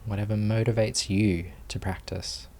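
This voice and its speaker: A man leading a guided meditation as a teacher, speaking calmly and soothingly with long pauses. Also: on the low side, slight background noise.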